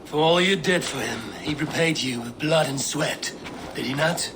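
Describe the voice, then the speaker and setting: An actor playing a steadfast Viking clan warrior, measured, blunt and commanding, in a video game cutscene. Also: male voice, a little high, noisy background, natural voice. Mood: resolute.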